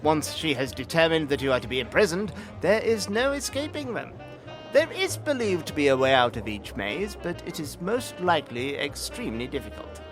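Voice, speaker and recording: A man's voice: a little high, big pitch swings, moderate pace, poor audio. A man playing a nervous, bookish records clerk in an audio drama, speaking formally and apologetically with a fussy stammer. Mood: scholarly. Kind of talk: acted audio drama dialogue. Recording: music under the voice.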